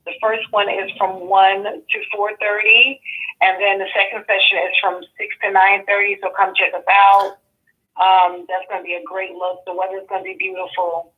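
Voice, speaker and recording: A woman's voice: mid-range voice, flat delivery, moderate pace. A woman who founded a brewery, talking upbeat and enthusiastic as an interview guest, often repeating words. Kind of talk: casual promotional interview. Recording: tinny phone line.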